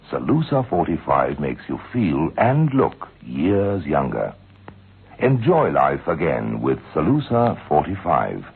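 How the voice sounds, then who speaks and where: A man reading a scripted radio commercial as a health tonic announcer, in a gravelly voice. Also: very deep voice, exaggerated voice. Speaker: advertising announcer. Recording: tinny lo-fi vintage radio recording.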